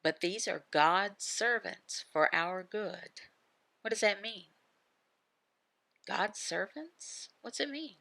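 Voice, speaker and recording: A woman giving a devotional Bible teaching in short, halting phrases, often asking questions and answering them herself. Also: mid-range voice, lively intonation, gravelly, pushed and bright, no room sound. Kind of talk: devotional teaching voicing a signed sermon.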